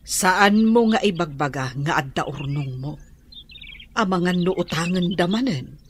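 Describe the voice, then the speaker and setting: A woman's voice: lowish voice, lively intonation, unhurried, slight background noise. An actress in an Ilocano radio drama playing a blunt, worried aunt who scolds as she talks, with an Ilocano accent.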